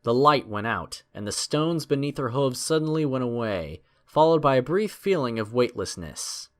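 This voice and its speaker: A man's voice: mid-range voice, animated, normal speed. A man narrating a scripted adventure story in a dramatic storytelling style.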